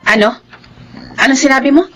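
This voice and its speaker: A woman playing a practical, nagging young wife with a Filipino accent in a radio drama, sharp and questioning. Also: sits high, a little fast, very noisy, lightly acted. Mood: exasperated. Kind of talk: Tagalog radio drama acting.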